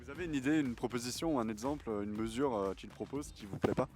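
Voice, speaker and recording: A man reporting on the street, asking passersby brisk, probing questions. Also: mid-range voice, a little mellow, soft background music. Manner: brisk, probing, lightly provocative.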